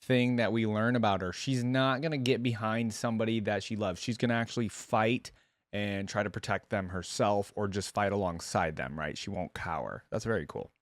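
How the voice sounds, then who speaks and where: A man's voice: on the low side, moderate pace, no room sound. Musing man in a dry close recording. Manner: musing, explanatory. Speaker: reaction video host. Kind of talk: casual reaction commentary.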